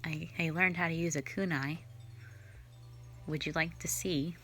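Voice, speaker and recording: Woman doing a cold inhuman slayer girl, low voice, slow and mocking, soft music behind. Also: fairly even intonation. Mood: scornful. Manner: dismissive, mocking, blunt. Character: cold, sardonic female nonhuman slayer, young adult. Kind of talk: audio roleplay drama.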